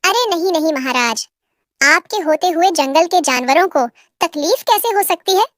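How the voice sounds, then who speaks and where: Woman as high-voiced flattering fox lady. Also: normal speed, no room sound, clean studio sound. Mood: placating. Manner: eager, reassuring. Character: deferential, flattering female fox serving the lion king. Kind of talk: children's animal moral-story cartoon.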